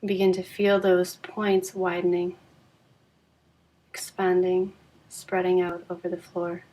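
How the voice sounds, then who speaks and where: Low-pitched woman guiding a calm meditation. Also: low-quality recording. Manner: calm, soothing, unhurried with long pauses. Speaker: yoga nidra guide. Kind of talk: guided relaxation meditation.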